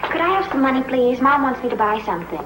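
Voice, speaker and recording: A young actress playing a quick-witted teenage daughter in a 1950s TV sitcom, on an echoey, noisy old TV soundtrack. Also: female voice, high voice, expressive. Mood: sassy. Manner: bright, sassy.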